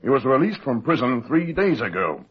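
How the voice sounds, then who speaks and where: An old company president in a muffled radio drama. He is brisk and authoritative, with a husky voice that swings widely in pitch as he presses each point. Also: sing-song. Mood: confiding, brisk. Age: older. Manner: businesslike, emphatic, authoritative.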